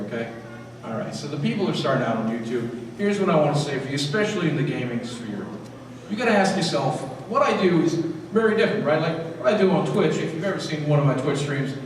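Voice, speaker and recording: A man speaking to a live audience at a convention panel in an energetic, direct way, with quick restarts. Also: fairly high pitch. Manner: energetic, talks straight to the crowd with quick restarts. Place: large echoey convention hall. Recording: echoey convention hall from far off with noise. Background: hall ambience noise.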